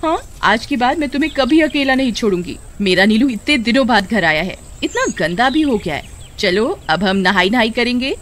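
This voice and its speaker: A woman's voice: a little high, expressive, normal speed, a bit bright, a little hiss or hum. A woman voicing a melodramatic village wife who dotes on her pet dog in a cartoon. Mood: doting, relieved. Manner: gushing, wailing, melodramatic. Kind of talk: Hindi cartoon voice acting.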